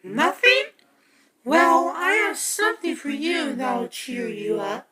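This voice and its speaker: A woman voicing a caring, excitable cartoon friend in an amateur production, on a noisy recording. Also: high-pitched, slow pace, heavily acted. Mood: concerned.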